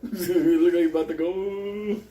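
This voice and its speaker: A man's voice: high voice, fairly even intonation, measured pace, mellow. Puerto Rican man, Spanish native, hosts his own show, rambles and swears, laughs a lot, echoey room with faint hiss. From Puerto Rico. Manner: rowdy, laughs often, swears constantly. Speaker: show host. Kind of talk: casual profane storytelling monologue.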